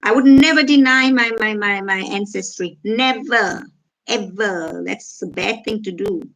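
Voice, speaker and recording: A woman talks casually to her viewers. Her voice sits at an ordinary middle pitch. She reads out comments and answers them point by point with a sarcastic edge. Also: measured pace, fairly bright tone, poor audio. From South Africa. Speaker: vlogger reacting to comments.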